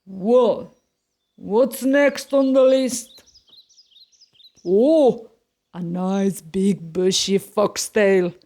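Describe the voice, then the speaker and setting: A woman voicing a grumpy, hungry, gullible male beast in a picture book, with a slow, drawn-out growl. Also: mid-range voice, dark tone, voice pushed down. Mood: huffy, greedy.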